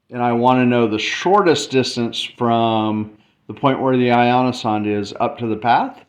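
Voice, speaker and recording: A man giving an informal tutorial walkthrough, thinking aloud as he explains a demonstration. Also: medium pitch. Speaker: explainer presenting a math and radio demo. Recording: dull lo-fi mic with faint background noise.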